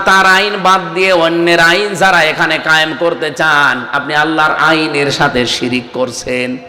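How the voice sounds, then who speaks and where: A man preaching a fiery, exhorting Islamic sermon to a gathering. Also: a little high. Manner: exhorting, calls on listeners to answer louder; breaks into slow melodic Arabic recitation. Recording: echoing PA sound with long reverb.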